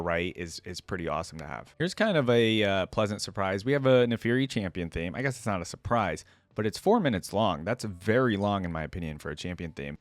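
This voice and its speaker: Low-voiced man giving casual reactions. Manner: impressed, informal, thinking aloud. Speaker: reaction video host. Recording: music playing behind.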